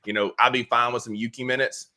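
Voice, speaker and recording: A man co-hosting a casual sports talk show, talking in a rambling, animated way with lots of fillers. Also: medium pitch, fast. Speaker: podcast co-host.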